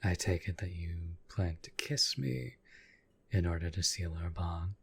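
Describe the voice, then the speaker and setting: A man playing a devoted, lovestruck demon bound to a summoner, husky and breathy, speaking in formal, courtly phrases in an intimate audio roleplay. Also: low-pitched, measured pace, full character voice. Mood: tender.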